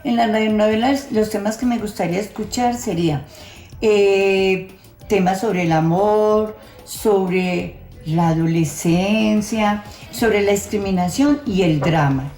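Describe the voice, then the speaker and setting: An older Colombian woman gives a personal testimony, plain-spoken and a little halting. Her voice sits at a middle pitch, recorded in a roomy space with music playing underneath. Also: warm tone. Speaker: audience member giving testimony. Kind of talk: reminiscing testimony.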